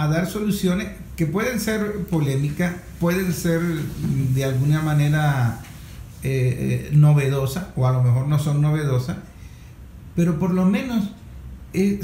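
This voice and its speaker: Male moderator, Venezuelan accent, soft dark tone, conversational and halting, roomy sound with faint noise. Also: a little high. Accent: Venezuelan Spanish. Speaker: host, moderator. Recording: echoey room, faint noise.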